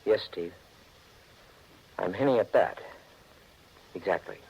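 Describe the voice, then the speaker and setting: An actor playing a cool, insinuating man giving pointed warnings in a 1940s radio mystery, with a gravelly, husky voice. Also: low voice, takes it slowly, darkish tone, slight background noise. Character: insinuating man coolly warning a troubled husband. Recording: dull old radio recording.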